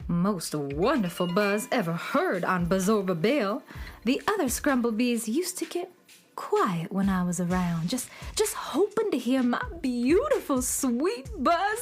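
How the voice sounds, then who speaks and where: A vain little cartoonish bee for a children's radio play. The voice is high and swoops around, drawing out its boasts with weepy self-pity over music. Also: female voice, full character voice. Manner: boastful, melodramatic, self-pitying. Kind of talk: children's radio drama acting.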